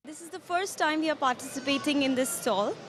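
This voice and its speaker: A woman's voice: high-pitched, brisk, fairly bright tone, slight background noise. A woman from India speaking unscripted in an interview as a stallholder.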